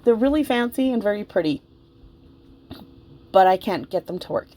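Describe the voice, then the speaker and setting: A woman vlogger talks in a casual, chatty way, as if thinking aloud while busy with her hobby. Her pitch sits in the middle range for a woman. She rambles informally and is openly effusive. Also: animated, moderate pace, warm tone. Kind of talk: casual, chatty commentary while dressing a doll.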